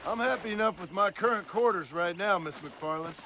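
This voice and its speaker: An actor playing a weary, stoic but courteous American gunslinger of the Old West, speaking with dry understatement in a video game. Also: male voice, high voice, fairly quick, noisy background. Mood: easygoing, polite. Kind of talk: video game cutscene acting.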